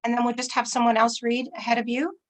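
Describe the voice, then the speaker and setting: Woman, high voice. Host of an informal online event, reassuring and organised. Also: level tone, quick pace. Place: video call.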